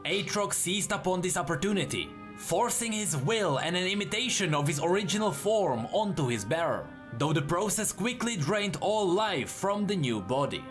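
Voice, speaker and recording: A man's voice: sits high, lively intonation, normal speed, few pauses, fairly bright tone, faint music behind. A man narrating fantasy lore as scripted, dramatic storytelling, with explanatory asides.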